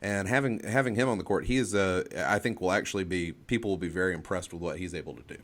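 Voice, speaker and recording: Deep-voiced man talking sports, haltingly. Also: fairly bright tone. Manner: halting, repeats words and phrases. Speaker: sports talk show co-host. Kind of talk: unscripted sports discussion and analysis.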